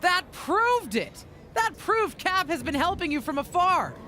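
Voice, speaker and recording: A woman playing an excitable, blunt wrestling official in a video game cutscene. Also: extremely high pitch, measured pace, full character voice. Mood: accusing.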